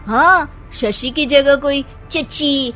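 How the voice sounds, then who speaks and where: A woman's voice: high-pitched, very slow, pushed and bright, faint music behind, full character voice. A woman voicing an outspoken, touchy woman in a cartoon family squabble, indignant and insistent.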